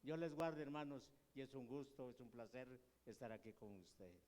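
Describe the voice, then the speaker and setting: A man's voice: mid-range voice, animated, moderate pace, noisy background. An older man from Guatemala, a visiting guest, gives a fervent, formal greeting to a congregation in a large church hall. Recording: long church reverb.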